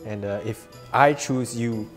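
A playful young Singaporean man trading jokes on a studio set. His medium-pitched voice carries a long reverb tail, with music playing underneath. The clip-on mic keeps him close but the room rings. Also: measured pace.

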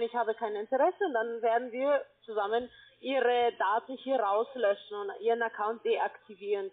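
A woman working as a phone sales agent, speaking defensively and persistently in an argument. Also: on the high side. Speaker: telephone sales agent, account manager. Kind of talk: sales call turned argument. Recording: thin, band-limited phone-line sound.

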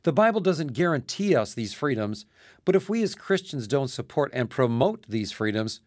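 A man's voice: medium pitch, big pitch swings, normal speed, a little mellow, dry recording, studio quality. A man speaking as a pastor and ministry leader in a scripted radio message.

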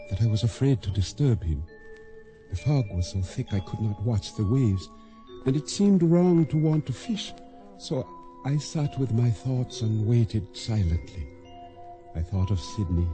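An actor playing an anxious island boatman who narrates his radio drama tale in formal, deliberate, reflective phrasing. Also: mid-range voice, warm tone, natural voice. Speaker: narrator and lead character. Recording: radio drama with prominent background music. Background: music.

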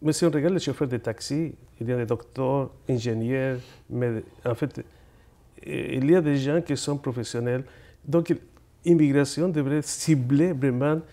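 A middle-aged man with a Chilean Spanish accent talks in a mellow, dark voice as a guest on a television set. His answers come out earnest and halting, with words repeated while he looks for the next one. His pitch sits at an ordinary middle level. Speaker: guest poet and speaker. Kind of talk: studio interview answers. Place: TV studio.

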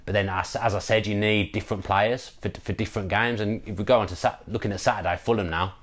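A man's voice: lowish voice, quick pace. A man, chatting animatedly about a football match on a video call. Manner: animated, repeats words while thinking. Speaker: football podcast co-host. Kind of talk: casual post-match football chat. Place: home room, indoors. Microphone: video call with earphone mic.

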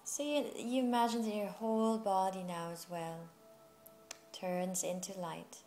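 A woman with a mid-pitched voice leads a guided meditation over music. She speaks soothingly and without hurry, leaving long pauses between short phrases, in the manner of a yoga teacher. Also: steady tone, warm tone. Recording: music playing behind the voice.

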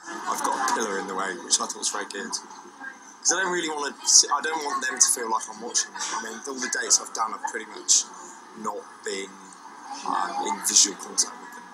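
A man's voice: on the low side, brisk, loud music behind the voice, poor audio. A young man explaining things casually. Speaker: dating agency support worker.

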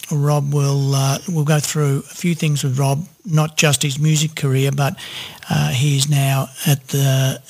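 A man's voice: fairly high pitch, a little mellow. A middle-aged or older man with an Australian accent, hosting a casual interview. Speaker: podcast host, interviewer.

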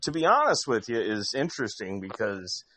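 A man's voice: on the low side, big pitch swings, moderate pace, sharp tone, no echo. A man from Indiana telling long, rambling stories in casual chat, with frequent restarts. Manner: rambling storyteller with many restarts.

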